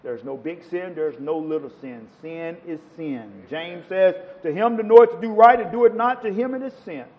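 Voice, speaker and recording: A man's voice: high-pitched, normal speed, few pauses, in a large hall, highs cut off. A man preaching a sermon to a congregation, quoting scripture with rising and falling emphasis. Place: church.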